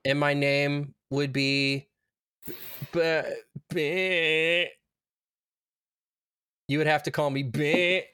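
A woman chatting casually with friends in a wry, drawn-out, sweary way. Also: low voice, steady tone, fairly bright tone, clean tone, no room sound, clean studio sound.

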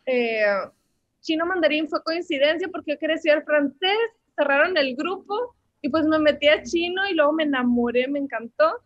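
A woman's voice: high voice, normal speed, no room sound, highs cut off. A woman in her twenties with a Mexican accent, a language teacher chatting about her story as an interview guest, with lots of fillers. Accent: Mexican Spanish. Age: 20s. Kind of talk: casual interview answers.

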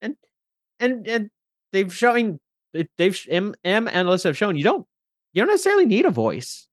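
A man chatting casually as a podcast guest, animated and thinking aloud as he goes. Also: high voice, a little fast, no room sound, studio quality. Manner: animated, thinks aloud about character voices. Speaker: podcast guest, tabletop role-player. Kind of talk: casual chat.

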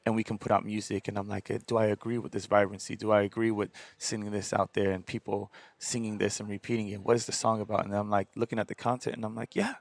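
A man with a raspy voice, talking as a podcast guest in casual, animated chat, repeating words and fillers as he goes. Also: fairly low pitch, fast talker, hardly any pauses, no room sound. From Hawaii. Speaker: podcast guest, dancer and singer.

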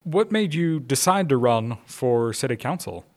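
A man hosting a local interview podcast, asking relaxed, informal questions. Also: mid-range voice, a little slow. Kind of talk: casual interview.

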